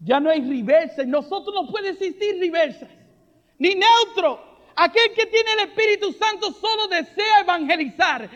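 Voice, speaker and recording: High-voiced fervent preacher man, hall echo. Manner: fervent, builds to shouting refrains; jokey asides with soft laughs. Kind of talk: charismatic sermon. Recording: reverberant hall, dull lo-fi sound.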